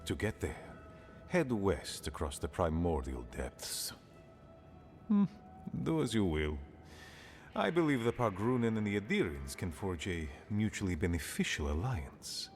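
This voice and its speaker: Fantasy game: aging dwarf leader, low pitch with lively swings, grave, pragmatic, blunt, faint music behind. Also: male voice, unhurried, full character voice. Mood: measured, dry. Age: older. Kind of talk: fantasy video game dialogue.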